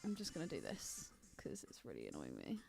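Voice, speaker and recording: A woman co-hosting a podcast in casual chat about a film, animated and exclamatory, with plenty of swearing. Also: extremely low pitch, brisk, creaky, soft background music. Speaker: podcast co-host.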